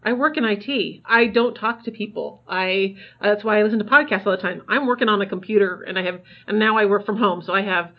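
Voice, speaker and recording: A woman's voice: medium pitch, a little fast, few pauses, lo-fi sound. A woman podcast host chatting in a self-deprecating, giggly way. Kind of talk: casual chat.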